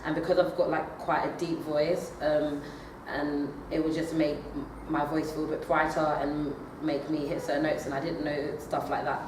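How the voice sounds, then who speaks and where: A woman's voice: deep voice, flat delivery, normal speed, few pauses. A young woman, a drama student, answering interview questions hesitantly with many fillers and restarts, recorded from a distance in an echoey room. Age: young adult. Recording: echoey room, far from the mic, noisy.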